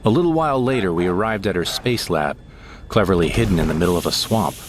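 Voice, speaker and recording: A man narrating a first-person audio drama in a wry, unhurried manner. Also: low-pitched, expressive, noisy background.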